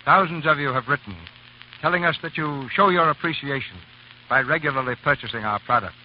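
A man's voice: mid-range voice, slight background noise. Male 1930s radio narrator, formal and grand scripted delivery, dull old broadcast sound. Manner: grand, deliberate, dramatic. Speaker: host and narrator of radio theatre. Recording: muffled 1930s radio recording, music behind.

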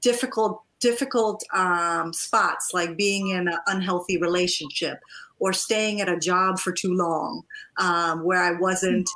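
A woman's voice: fairly low pitch, fairly even intonation, unhurried, pushed and bright, low-quality recording. A woman from Trinidad who grew up partly in the US, talking as a coach and interview guest in an effusive, personal storytelling way. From born in Trinidad, moved to the US at about eight. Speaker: guest, life and divorce coach.

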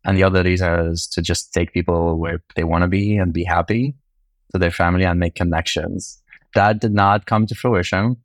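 A man from Lebanon with a deep voice talks as a podcast guest. His delivery is casual and conversational, recorded dry without room sound. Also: no echo.

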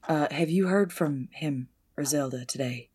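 A woman plays a female stunt driver in a period audio drama. Her voice sits low and is rough-edged and husky. She is deferential and folksy, choosing words carefully and staying guarded. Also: normal speed, a little mellow. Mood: cautious. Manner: polite, hesitant, folksy. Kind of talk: 1920s-set audio drama roleplay.

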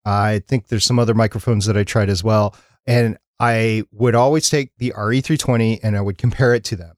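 A man co-hosting a podcast, calmly explaining at length in casual chat, with a bright voice on a studio mic. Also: fairly low pitch, fairly quick, no echo. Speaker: podcast co-host. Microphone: studio dynamic mic.

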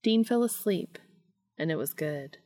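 A woman's voice: on the low side, expressive, unhurried, mellow, faint music behind. A woman reading scripted fiction as a podcast narrator and host.

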